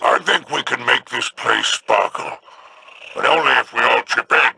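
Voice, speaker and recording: An actor playing a sly, smug alien crime lord in a video game, with a gravelly, drawling, theatrical delivery. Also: male voice, mid-range voice, normal speed, bright, forceful tone, exaggerated voice. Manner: wheedling and theatrical.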